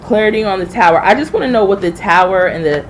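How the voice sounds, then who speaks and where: A woman's voice: medium pitch, expressive, fast talker, a little hiss or hum, low-quality recording. A woman hosting a live tarot reading, chatting informally and familiarly with viewers as she thinks aloud. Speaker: tarot reader hosting a livestream.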